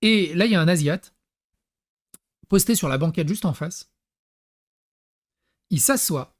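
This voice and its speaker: A man's voice: high-pitched, lively intonation, measured pace, dry recording, clean studio sound. A young man from Paris, telling casual anecdotes to his channel with many fillers and restarts. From Paris, France. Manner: rambling, lots of fillers and restarts, laughs at own stories. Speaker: YouTuber. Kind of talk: casual storytelling to camera.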